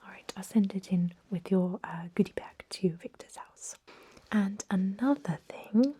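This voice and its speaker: A woman playing a thousand-year-old vampire medic in an ASMR role-play, whispering softly in a gentle, courteous, reassuring way with a hesitant, explanatory manner. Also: mid-range voice, a little slow. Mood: soothing, instructive. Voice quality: soft, breathy whisper. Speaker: emergency room clinician in a role-play.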